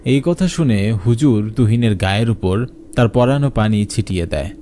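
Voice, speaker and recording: Mellow male horror story narrator. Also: lowish voice, expressive, normal speed, slight background noise.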